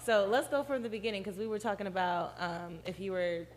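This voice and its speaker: A woman guest on a podcast with a soft voice, speaking hesitantly with fillers in casual group chat. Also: medium pitch, brisk, in a large hall.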